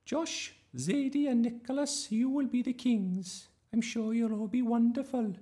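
A man's voice: extremely high pitch, steady tone, measured pace, warm tone, voice pushed up. A man voicing a gushing, theatrical young female drama teacher in a children's storybook, sing-song and exaggeratedly polite. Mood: brisk, encouraging. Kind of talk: children's audiobook character voice.

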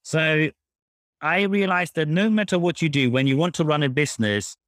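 A man's voice: fairly high pitch, moderate pace, a bit bright, dry recording. Blunt German-accented man, close dry sound. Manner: blunt, emphatic. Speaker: guest, public speaker on leadership. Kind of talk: interview answers, explanatory.

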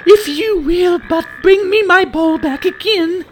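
A man voicing a spoiled young princess in a shrill falsetto, in a fairy-tale reading. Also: unhurried, faint music behind. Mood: eager.

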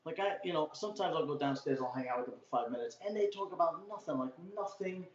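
A man's voice: on the high side, expressive, quick pace. Talkative Brooklyn man, roomy dull sound. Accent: old-school Brooklyn. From Brooklyn, New York. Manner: talkative storyteller, swearing freely. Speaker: podcast guest. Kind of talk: casual chat. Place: home room, remote call. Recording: echoey room, dull lo-fi sound.

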